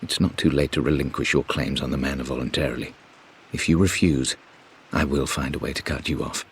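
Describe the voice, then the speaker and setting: A cold, imperious middle-aged British gentleman delivers a formal, threatening letter in a game. His voice is extremely deep, over a faint layer of hiss. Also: fairly even intonation, a little fast. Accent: British English. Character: stern, threatening upper-class uncle. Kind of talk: letter read in character.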